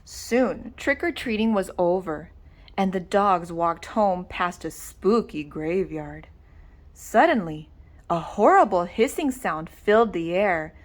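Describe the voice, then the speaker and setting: A woman reading a children's story aloud with dramatic, deliberate expression. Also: fairly low pitch, expressive. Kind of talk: animated read-aloud narration.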